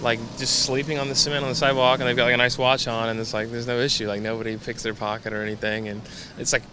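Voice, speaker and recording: Man, casual rambling answers, mid-pitched, loud street noise. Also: fast. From United States. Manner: relaxed, rambling with many fillers. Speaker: interviewee, former English teacher. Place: outdoors on a city street.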